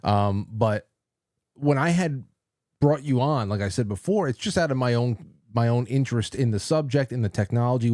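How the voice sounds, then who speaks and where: A man hosting a casual interview show, talking in a rambling, halting way. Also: mid-range voice, mellow, no echo, studio quality. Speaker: talk show host.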